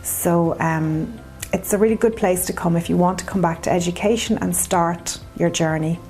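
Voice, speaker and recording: A woman's voice: lowish voice, fairly even intonation, moderate pace, darkish tone, soft background music, low-quality recording. A woman, an adult education tutor, explaining classes in a reassuring way. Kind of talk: interview.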